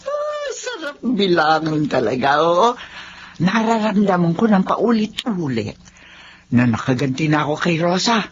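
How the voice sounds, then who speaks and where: Wildly swooping spiteful Filipino aunt. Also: female voice, on the low side, wildly swooping pitch, a little slow. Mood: gloating. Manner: scornful, theatrical. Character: spiteful, greedy aunt, domineering and vengeful. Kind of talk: Filipino radio drama acting. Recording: dull lo-fi audio with background noise.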